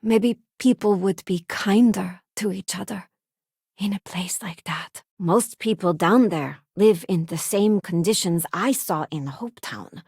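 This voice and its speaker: A woman's voice: medium pitch, a little slow, a little mellow, breathy edge, no echo. Game companion: young working-class female spacefarer, principled, frank, conversational. Dry recording. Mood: earnest. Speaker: video game companion character. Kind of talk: video game voice acting, short character lines.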